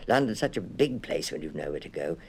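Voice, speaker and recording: A kindly storybook wife and mother, voiced by a man in his low register speaks briskly and with feeling. She is excitable and warm-hearted, quick to exclaim. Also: vocal fry, slight background noise, exaggerated voice. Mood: concerned, pleading. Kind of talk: children's audiobook character voice.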